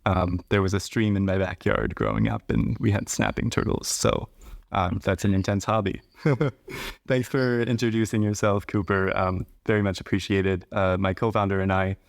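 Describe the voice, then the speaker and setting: Male podcast host, lowish voice, measured, explanatory, dry close sound. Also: fairly even intonation, creaky, no echo. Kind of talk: podcast hosting and interviewing.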